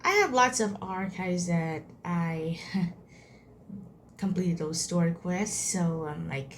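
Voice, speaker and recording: Girl streaming a game, talks slow and casual, really noisy background. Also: on the low side, animated. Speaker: gameplay streamer. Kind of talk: casual game playthrough commentary. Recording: loud background noise, game sounds.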